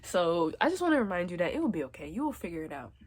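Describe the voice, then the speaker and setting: A young woman talks to camera in a loose, chatty vlog, her voice husky and slightly breathy. A head cold leaves her nose stuffed up. She reassures the listener warmly, dropping frequent "like" and "literally.". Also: lowish voice, sing-song, rapid-fire. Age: young adult. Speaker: vlogger. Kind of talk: casual advice vlog.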